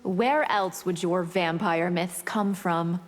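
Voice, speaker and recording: An actress playing a haughty, deadly vampire in a fighting game, speaking with cool disdain and threat. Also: female voice, lowish voice, fairly even intonation, slow pace. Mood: cold menace. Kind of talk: fighting game character banter. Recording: heavy background noise, long reverb.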